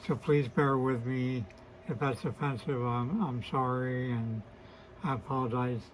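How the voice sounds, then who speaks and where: Slow hoarse man in his sixties. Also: mid-range voice, fairly even intonation, slight background noise, low-quality recording. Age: 60s. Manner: hesitant and apologetic, many fillers and restarts. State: hoarse, weakened voice. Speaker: host of a beard channel. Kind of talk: casual to-camera vlog review.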